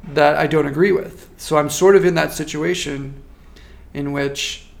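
A man working as a marketing consultant, giving a measured, thoughtful interview answer with frequent restarts. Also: on the high side, fairly even intonation, vocal fry. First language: not French.

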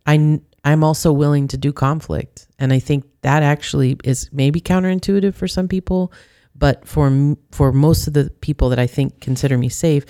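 A woman's voice: low-pitched, steady tone, moderate pace, few pauses, mellow, dry recording, clean studio sound. A young adult woman, a youth advocate guest in a casual interview, talking earnestly and at length. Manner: earnest and talkative.

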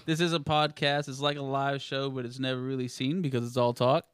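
A man's voice: mid-range voice, flat delivery, a little fast, clear, resonant tone, no room sound, studio quality. A man in his twenties hosting a podcast, asking questions and agreeing often. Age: 20s. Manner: encouraging, many short agreeing replies. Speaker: podcast host. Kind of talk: casual interview talk.

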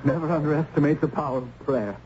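A man plays a large, pious monk in a muffled, noisy old radio drama. The voice is rather high for a man and swings widely in pitch. He speaks earnestly and urgently, in halting phrases. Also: lightly acted. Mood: urgent, tender. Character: large, strong, devout monk smuggling out a condemned girl.

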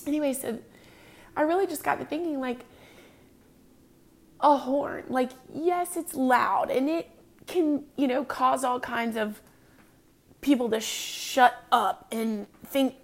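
A woman's voice: high-pitched, slow pace, pauses often. A woman in her thirties hosting her own podcast, talking in an earnest, confessional way with many ums. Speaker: podcast host. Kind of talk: casual personal talk.

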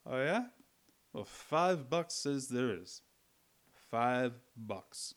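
A man's voice: medium pitch, animated, very slow. A man playing a stubborn, tipsy American drinking pal in a radio play, slangy and challenging, with an American accent. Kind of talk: old-time radio drama acting.

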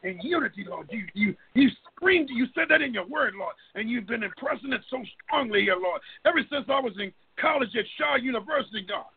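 A man's voice: extremely high pitch, expressive, a little fast, vocal fry, few pauses, slightly rough. A middle-aged man prays aloud fervently and off the cuff, pleading and repeatedly calling on God. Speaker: person leading group prayer. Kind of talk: fervent extemporaneous prayer. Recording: tinny, phone-line-like audio.

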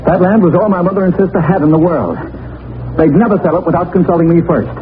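A man's voice: high voice, animated, quick pace. An actor playing an earnest, insistent young man seeking justice for his family, in formal 1940s radio crime drama style. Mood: impassioned. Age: young adult. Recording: noisy narrow-band 1940s radio recording.

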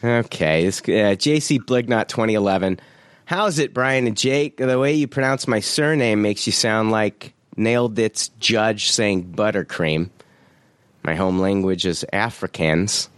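A fairly low-pitched man hosts a podcast in an easygoing, chatty way. He reads listener reviews aloud, laughs often and drops the odd swear word. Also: unhurried, clear, resonant tone, dry recording. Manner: laid-back, laughs often, swears casually. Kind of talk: casual podcast chat.

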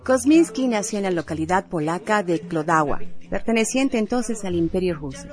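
A woman's voice: medium pitch, animated, moderate pace. A woman reading scripted news as co-presenter of a radio newscast.

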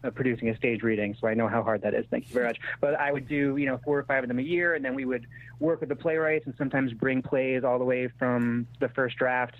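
A man's voice: medium pitch, steady tone, quick pace. A man answering interview questions as an actor-writer in a candid, conversational way, often backtracking as he goes. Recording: thin phone-like radio sound.

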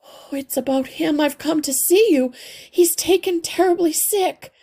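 A woman's voice: very high voice, measured pace, dark tone, pitched above the performer's own voice. A woman voicing an anxious, polite mother mouse who speaks in an earnest, worried way for a children's storybook. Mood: pleading. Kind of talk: children's storybook read-aloud.

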